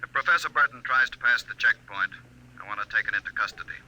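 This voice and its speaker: Threatening director, rapid-fire and piercing, filtered like a telephone, 1950s radio drama. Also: male voice, medium pitch, bright, forceful tone, poor audio. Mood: angry, commanding. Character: furious, threatening male security director barking orders. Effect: radio or telephone intercom filter.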